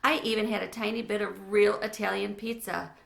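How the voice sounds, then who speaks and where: A woman's voice: mid-range voice, fairly even intonation, brisk, vocal fry. A woman reading a children's storybook aloud as narrator. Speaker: read-aloud reader. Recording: echoey room.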